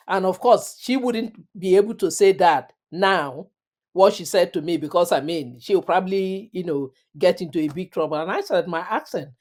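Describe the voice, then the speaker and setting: A woman's voice: fairly low pitch, dark tone. A middle-aged woman with an African accent, telling her career story in an interview in an earnest, emphatic way and repeating phrases for stress. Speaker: interview guest. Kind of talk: reflective personal storytelling.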